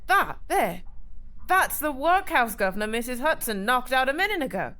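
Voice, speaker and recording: A woman's voice: high-pitched, very animated, moderate pace, sharp tone, exaggerated voice. A woman playing a clever, determined working-class girl detective in an audio drama, thinking aloud with dramatic deliberation. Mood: excited discovery.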